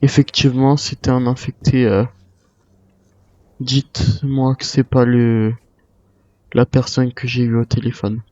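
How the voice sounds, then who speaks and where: Man, mellow voice, wary survivor role-play, video game, muffled roomy recording. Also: medium pitch, flat delivery, a little slow, pauses often. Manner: speaks in character as a wary survivor. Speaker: player role-playing a zombie apocalypse survivor. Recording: muffled lo-fi mic, roomy echo.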